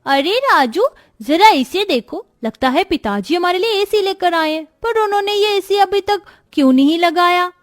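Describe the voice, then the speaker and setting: A woman's voice: extremely high pitch, animated, normal speed, dry recording, clean studio sound, pitched above the performer's own voice. A woman voicing a curious, plaintive young girl in a moral-story cartoon. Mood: curious, excited.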